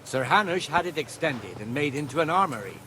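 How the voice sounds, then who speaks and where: A medieval town guard for a game, chatty and bossy. His voice sits a little high and swings widely in pitch. He is gruff and plain-spoken, used to giving orders. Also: big pitch swings, brisk, sharp tone, slight background noise, poor audio. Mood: matter-of-fact, explaining. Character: gruff, talkative medieval town guard showing a newcomer around. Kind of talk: video game dialogue acting.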